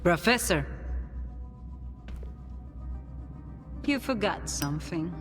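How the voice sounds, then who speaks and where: Casting: actress for a knowing, controlled female leader in a game, quietly threatening, reverberant, music behind. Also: fairly low pitch, expressive, natural voice. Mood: cool authority. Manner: deliberate, controlled, insinuating. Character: composed, commanding woman, coolly menacing, knowing. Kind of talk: video game voice acting.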